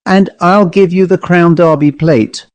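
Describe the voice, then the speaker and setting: A man's voice: high-pitched, a little slow, darkish tone, no echo, pitched above the performer's own voice. A lonely old ghost woman, played by a male reader, quavering and whistling as she wheedles for company. She is doleful and sly, a wistful figure from a storybook, recorded close and dry. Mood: wistful, cunning.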